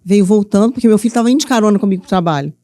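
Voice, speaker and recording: A middle-aged woman from Minas Gerais with a Minas accent, telling her story as a studio interview guest with lively, playful earnestness. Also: mid-range voice, rapid-fire, no room sound. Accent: Minas Gerais Brazilian Portuguese. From Minas Gerais, Brazil. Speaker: guest, author and mentor.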